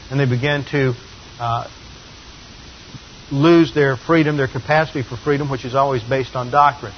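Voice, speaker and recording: A man teaching a Bible passage verse by verse, explaining and correcting himself as he goes. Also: medium pitch. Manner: explanatory, with frequent fillers and self-corrections. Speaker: pastor teaching a Bible study. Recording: slightly dull lo-fi recording with faint hiss.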